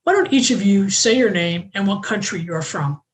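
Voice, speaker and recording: A woman's voice: medium pitch, lively intonation, noisy background. Woman host reading a scripted welcome. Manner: measured, reading from a prepared script.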